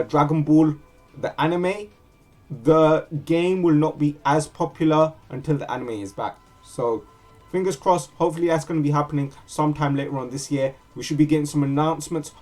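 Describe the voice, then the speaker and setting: Chatty man with a highish voice, streaming. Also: darkish tone. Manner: informal, chatty. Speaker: game streamer. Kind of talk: casual gameplay commentary and chat. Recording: faint game music behind. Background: faint game music.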